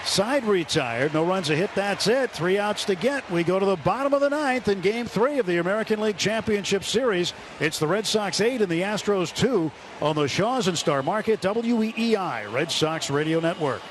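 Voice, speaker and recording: Male baseball radio announcer, higher-register voice, live play-by-play over clear ballpark noise. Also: moderate pace. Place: broadcast booth at a ballpark. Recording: noisy stadium radio broadcast. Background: steady ballpark noise.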